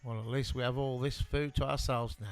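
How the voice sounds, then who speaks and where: A man playing a cocky, dim but easygoing detective sidekick in an amateur comedy audio drama, flippant and deadpan. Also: medium pitch, a little fast, creaky, soft background music, natural voice. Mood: smug.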